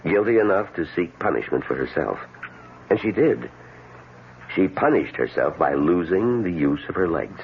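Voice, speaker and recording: An actor playing a grave, courteous doctor in an old-time radio drama, speaking in a formal, measured, authoritative way. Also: male voice, deep voice, sing-song, vocal fry, exaggerated voice. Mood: grave, explaining. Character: grave, courteous male doctor explaining a patient's cure. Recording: tinny, hissy old radio recording.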